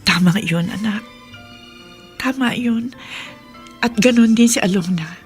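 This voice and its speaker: A woman playing a caring but firm middle-aged mother in a radio drama, speaking in a measured, earnest way. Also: on the high side. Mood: gently firm. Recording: music bed behind voice.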